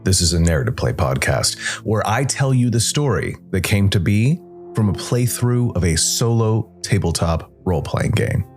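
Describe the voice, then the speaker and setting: Deep-voiced man narrating a story over music. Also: animated, moderate pace, darkish tone. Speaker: podcast host and narrator.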